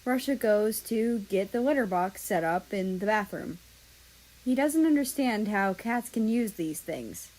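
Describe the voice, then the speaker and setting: Woman reading fanfic out loud, medium pitch, narrator style. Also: animated, unhurried, poor audio. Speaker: fan fiction reader. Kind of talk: scripted story narration.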